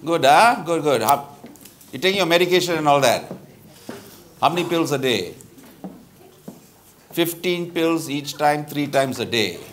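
A tactless middle-aged Malaysian lawyer in a stage comedy. His voice sits fairly high and swings up and down with animation, blunt and chatty, full of colloquial particles. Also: expressive, unhurried, long pauses, noisy background. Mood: friendly, exaggerated concern. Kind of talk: live stage comedy sketch. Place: theatre stage with bar set, live audience. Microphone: lapel mic.

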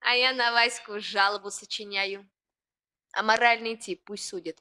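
A woman's voice: mid-range voice, steady tone, normal speed, pushed and bright, natural voice. An actress playing a brash, gossipy young village woman in a Soviet radio play, scoffing and exclaiming in colloquial speech. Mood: mocking. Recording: old radio recording with faint noise.

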